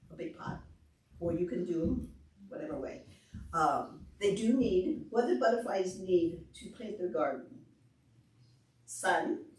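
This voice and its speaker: A woman presenting to preschoolers and parents, explaining simply and asking questions in an animated, demonstrative way. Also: medium pitch. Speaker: visiting presenter at a children's library program. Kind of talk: interactive talk to preschoolers and parents. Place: large indoor library meeting room. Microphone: far camera. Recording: echoey room, distant camera microphone.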